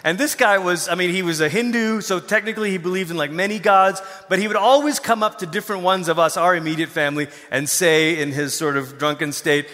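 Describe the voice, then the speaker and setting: A middle-aged man from Malaysia preaching a conversational sermon, telling personal stories in an informal, animated way. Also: sits high, a little fast, few pauses. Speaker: pastor. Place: large hall, preaching to a congregation. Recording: long reverberant hall sound.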